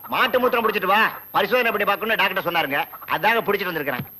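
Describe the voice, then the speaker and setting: An earnest village farmer calls out in a very bright, high voice. He talks quickly and eagerly. It is a film comedy scene. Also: lively intonation, slight background noise, heavily acted. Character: earnest village man bringing cow urine for a test.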